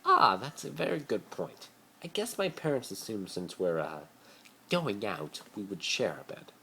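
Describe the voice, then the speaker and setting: A man's voice: lowish voice, very animated, unhurried, creaky, pushed and bright, voice pushed up, full character voice. A man voicing a haughty, snappy teenage boy in a story reading, with an affected, whiny delivery. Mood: awkward. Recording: noisy amateur phone recording.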